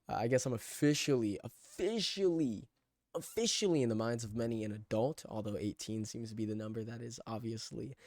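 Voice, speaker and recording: A man's voice: medium pitch, a little mellow, no room sound, clean studio sound. A twenty-year-old man giving a casual, rambling vlog monologue, loose, digressive and self-deprecating as he talks through his screen. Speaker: vlogger documenting a personal challenge.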